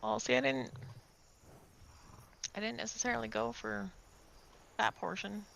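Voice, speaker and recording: A woman's voice: medium pitch, big pitch swings, unhurried, creaky, a bit bright. A woman roleplaying in character, dryly teasing another player. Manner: dry teasing, playful threats.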